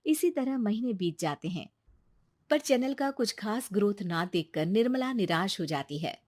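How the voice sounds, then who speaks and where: A woman's voice: medium pitch, lively intonation, normal speed, a little mellow, no echo. A woman narrating a scripted moral story as its storyteller.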